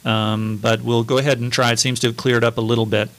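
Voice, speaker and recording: A man's voice: mid-range voice, little pitch movement, quick pace, a bit bright. A man hosting a live radio interview, asking questions in a playful, joking way. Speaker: radio host.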